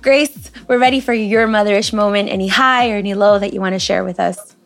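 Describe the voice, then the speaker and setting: A young woman from the United States whose first language is Spanish, co-hosting a casual podcast with relaxed, short reactions. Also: medium pitch, fairly quick, vocal fry, soft background music. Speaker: podcast co-host. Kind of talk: casual chat.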